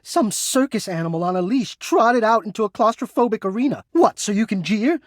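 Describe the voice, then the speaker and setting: Melodramatic, anxious, yearning recluse. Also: male voice, sits high, animated, no room sound, studio quality. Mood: bitter, frightened. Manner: florid, halting, theatrical speech. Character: anxious, melodramatic, yearning man hiding in an attic. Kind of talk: video game character acting.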